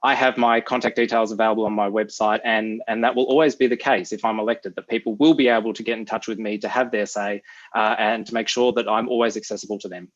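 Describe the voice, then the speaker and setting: A man's voice: on the low side, steady tone. Aussie guy stumbling thru his answer, lots of uhs and restarts, muffled audio. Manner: halting, many restarts. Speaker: council candidate. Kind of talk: unscripted town hall answer. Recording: muffled, dull sound.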